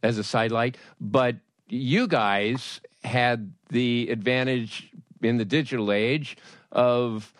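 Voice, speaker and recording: A man's voice: mid-range voice, fairly even intonation, slow, no echo. A man hosting a podcast interview in a conversational style.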